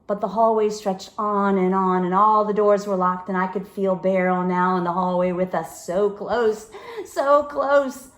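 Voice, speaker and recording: A woman reading a children's book chapter aloud, with expressive, deliberate and dramatic storybook phrasing. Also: mid-range voice, roomy sound.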